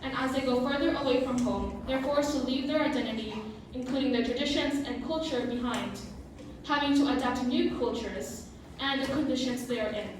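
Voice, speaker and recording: High-voiced teenage girl in a very echoey room. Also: steady tone, brisk. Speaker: student presenter. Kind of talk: school presentation, prepared script with casual asides. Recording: very echoey room, distant mic, clear noise.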